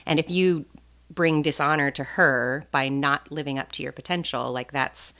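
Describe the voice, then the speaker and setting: An American woman telling stories casually as a podcast guest, animated and joking, with plenty of "like" in her talk. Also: sits low, normal speed. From born in Taiwan, raised in a US Navy family. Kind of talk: casual conversational storytelling.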